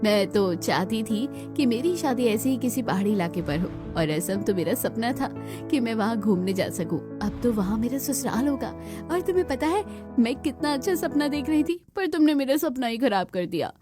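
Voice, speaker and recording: A woman voicing a dreamy, eager, excitable young bride in a cartoon story. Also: a little high, moderate pace, music in the background, lightly acted. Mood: dreamy, happy.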